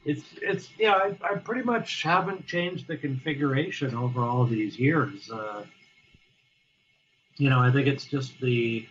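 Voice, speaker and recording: A man talking casually as an interview guest, halting and restarting often as he explains how he plays drums. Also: mid-range voice, a bit bright, muffled recording, slight background noise. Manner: halting, many restarts and fillers. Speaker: guest drummer.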